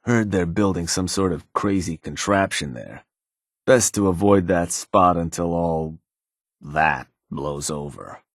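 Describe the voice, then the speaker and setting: Game role: a laconic raider lieutenant, very low husky voice, dry studio sound. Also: male voice, unhurried, dry recording. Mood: matter-of-fact. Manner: terse, businesslike, dry. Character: gruff male raider lieutenant, laconic, world-weary. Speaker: game character. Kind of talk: video game voice lines.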